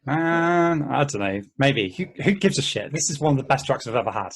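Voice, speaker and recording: A man's voice: medium pitch, lively intonation, a little fast, slightly rough. A man reading the part of a laid-back, stubborn friend in a casual, slangy play table read. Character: laid-back, stubborn buddy proud of his old truck.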